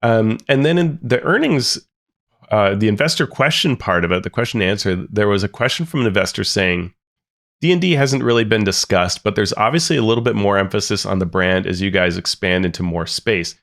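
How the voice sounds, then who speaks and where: Man, podcast co-host, gravelly, lowish voice, casual chat. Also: expressive, a little fast, a bit bright. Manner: reads quotes aloud then comments, many restarts.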